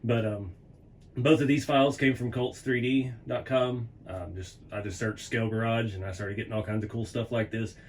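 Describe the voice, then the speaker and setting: A man hosting a hobby vlog from his workshop, casually rambling and chuckling, his voice going hoarse. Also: medium pitch, moderate pace. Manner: relaxed, rambling, chuckles often. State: losing his voice. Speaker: hobby channel host. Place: home workshop.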